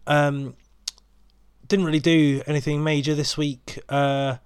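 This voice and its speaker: Congested podcast host, flat delivery. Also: male voice, fairly high pitch, unhurried, clean tone, no echo, clean studio sound. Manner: rambling, many ums and restarts. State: bunged up with a cold. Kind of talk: casual solo podcast intro.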